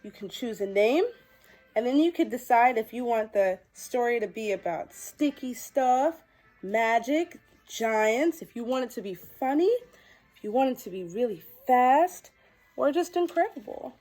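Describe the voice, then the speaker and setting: A woman with a fairly high voice reads to children at a very slow, deliberate pace. Her delivery is expressive and sweet, as a storytime host speaking to young viewers. Faint music plays behind her. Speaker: children's storytime host dressed as a princess character. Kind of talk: animated children's picture-book reading and direct address to young viewers.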